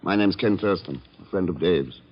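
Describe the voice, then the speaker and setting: An actor playing a cool, probing, tough investigator with terse, dry delivery in an old-time radio thriller. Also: male voice, deep voice, moderate pace. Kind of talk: 1940s-50s American radio drama acting. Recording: dull, echoey old radio recording with clicks.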